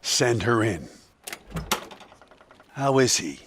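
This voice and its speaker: A man playing an irate, scornful city mayor in a superhero fan dub, berating people in a rough, forceful voice. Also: medium pitch, very animated, extremely slow, background noise. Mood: dismissive.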